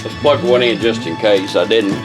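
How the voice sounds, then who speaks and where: A man's voice: on the low side, fairly even intonation, normal speed. An American man giving a casual, unscripted product demonstration as a radio restorer, plainspoken and rambling in a folksy way. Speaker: car radio restorer demonstrating his work. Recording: music playing behind the voice.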